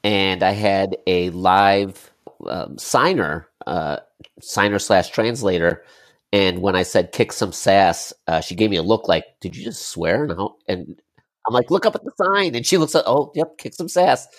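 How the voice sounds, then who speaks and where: Brief: male co-host, low voice, playful and stumbling in casual chat. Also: expressive, measured pace. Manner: jokey, stumbling with restarts. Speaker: podcast co-host.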